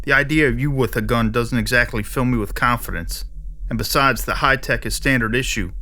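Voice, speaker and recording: An actor playing a gruff, skeptical, no-nonsense sergeant in an audio drama, blunt and sardonic. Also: male voice, medium pitch, brisk, bright, forceful tone, natural voice. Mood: skeptical, dry.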